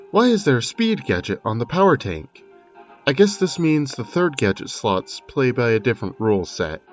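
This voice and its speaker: A man's voice: lowish voice, dull audio. A man delivering scripted video game analysis commentary with informal, conversational asides. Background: music under the voice.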